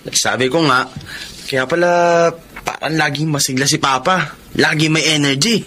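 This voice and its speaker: A man's voice: a little high, moderate pace, bright, forceful tone, slight background noise. A man playing a cheeky, teasing, gossipy son in a Filipino radio drama. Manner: teasing, chatty.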